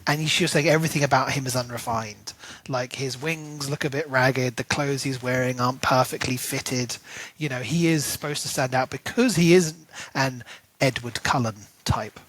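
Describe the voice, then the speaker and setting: A man's voice: medium pitch, steady tone, normal speed, fairly bright tone, dry recording, low-quality recording. A man co-hosting a podcast, talking through a book in a chatty, rambling way full of "like" and "you know". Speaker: podcast co-host. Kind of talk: casual book discussion.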